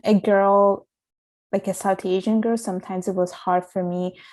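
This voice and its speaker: A young woman from Italy speaks as a podcast guest at an average pitch for a woman. She talks earnestly and thoughtfully, often circling back and restarting phrases as she reflects. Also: little pitch movement, normal speed, a little mellow. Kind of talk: casual interview storytelling.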